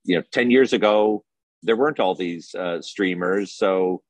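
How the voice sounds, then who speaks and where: A middle-aged man speaking as an interview guest, thoughtful and hesitant, often pausing to deliberate mid-sentence. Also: sits low, no echo. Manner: halting, deliberating, many long hesitations. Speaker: film festival organiser, interview guest. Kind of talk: thoughtful panel conversation.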